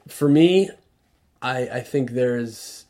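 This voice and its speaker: A man speaking as a guest on a radio show, earnest and reflective, often restarting his sentences. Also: mid-range voice. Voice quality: loud voice. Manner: earnest, halting with many restarts. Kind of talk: reflective conversational talk. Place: radio studio.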